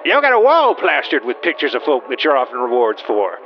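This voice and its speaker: A man's voice: mid-range voice, huge pitch swings, brisk. A man playing a cheeky, argumentative small-town troublemaker in an audio drama. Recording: muffled recording with music behind.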